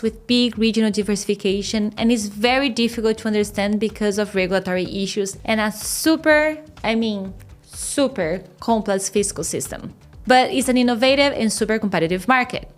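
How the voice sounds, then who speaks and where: A woman from Brazil with a Brazilian Portuguese accent, hosting a podcast and delivering a scripted, informative monologue in a measured way. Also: on the high side. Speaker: podcast host.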